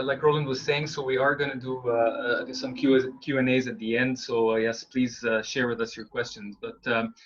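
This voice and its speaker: A man working as a marketing consultant speaks at a medium pitch, friendly but halting, full of ums and uhs. He sounds a bit sleepy after rising at five in the morning. His voice comes through dull, lo-fi webinar audio. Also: fairly bright tone, slightly rough. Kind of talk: webinar presentation.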